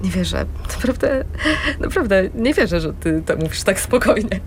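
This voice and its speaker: A woman's voice: mid-range voice, lively intonation, normal speed, darkish tone, slight background noise, natural voice. An actress playing an indignant, anxious middle-aged mother who argues defensively in a radio drama. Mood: hurt, incredulous. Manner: defensive, incredulous.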